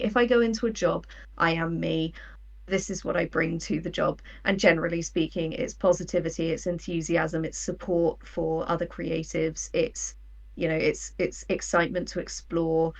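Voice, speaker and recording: A woman in her thirties chatting casually as an interview guest, telling things in an animated way and acting out imagined quotes. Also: fairly low pitch, moderate pace, vocal fry, recorded from a distance. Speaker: guest, writer.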